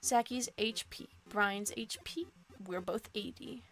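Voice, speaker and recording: Bright, giggly young woman streamer. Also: fairly high pitch, slow, soft background music. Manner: playful, giggly, comments between read lines. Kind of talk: playing and reading a dating game aloud.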